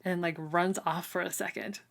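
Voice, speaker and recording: A woman with a slightly low voice chats as a podcast co-host. Her retelling is informal and loose, peppered with "like" and often trailing off mid-thought. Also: steady tone, normal speed, creaky. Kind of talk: casual book discussion chat.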